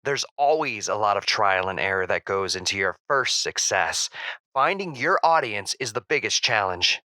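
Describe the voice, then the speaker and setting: A man's voice: on the low side, animated, moderate pace, pushed and bright, no room sound. A man playing a modest, slightly uneasy TV actor as a radio guest, hesitant and polite. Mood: modest, agreeable. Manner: hesitant, polite, nervous chuckles. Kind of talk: acted radio phone-in guest.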